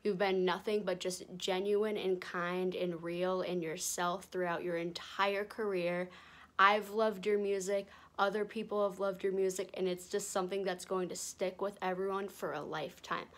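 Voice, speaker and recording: Young woman, earnest, sincere, middle-pitched voice, handheld phone, armchair indoors. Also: flat delivery, moderate pace. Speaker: fan sending a video message. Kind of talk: sincere direct-to-camera message.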